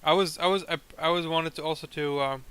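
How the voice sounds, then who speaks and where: Bright, clear-voiced young man gaming. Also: a little high, fairly even intonation, fast talker. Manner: teasing, chatty, explains at length. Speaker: co-host playing a factory game. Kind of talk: casual chat over gameplay.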